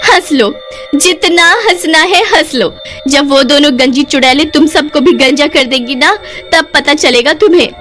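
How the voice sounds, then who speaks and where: Cartoon village wife female performer: high voice, rustic, whining, aggrieved, over background music. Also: moderate pace, fairly bright tone. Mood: indignant. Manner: rustic, wailing, melodramatic. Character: distraught village wife shorn bald, whining and indignant. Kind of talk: Hindi cartoon horror-comedy acting.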